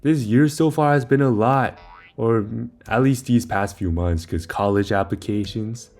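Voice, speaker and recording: A man's voice: fairly low pitch, measured pace, a little mellow, faint music behind. A young man giving a casual, informal game walkthrough, rambling a little as he talks through each step while playing. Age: young adult. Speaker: video maker giving game guide.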